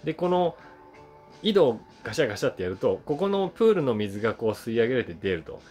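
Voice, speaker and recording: A man in his forties casually reminiscing to camera in an animated, conversational way, acting things out with sound words. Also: mid-range voice, quick pace. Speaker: voice actor and YouTuber telling memories. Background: soft guitar music.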